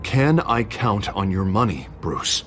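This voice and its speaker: An actor playing a smooth but nervous politician in a video game, hesitant and persuasive. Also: male voice, lowish voice, big pitch swings, takes it slowly. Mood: coaxing. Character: smooth, nervous male politician running for office. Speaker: election candidate. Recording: music behind the voice.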